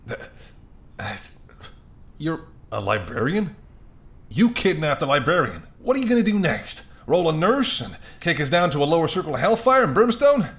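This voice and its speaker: A man's voice: fairly high pitch, sing-song, normal speed. A man playing an apologetic, wry and courteous male mafia boss in an audio roleplay, speaking conversationally and sardonically straight to the listener. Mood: exasperated, sardonic. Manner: conversational, sardonic, exasperated, softening into polite charm.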